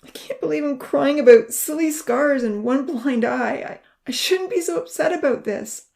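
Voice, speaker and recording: A woman voicing a young burned man who is anguished and crying, his breathy whisper breaking into sobs. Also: on the high side, animated, unhurried, warm tone, voice pushed up. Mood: sobbing.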